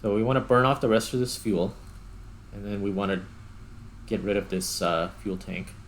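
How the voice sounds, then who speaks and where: A man giving casual gameplay commentary, thinking aloud in short, halting remarks. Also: lowish voice, a little hiss or hum. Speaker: gaming host.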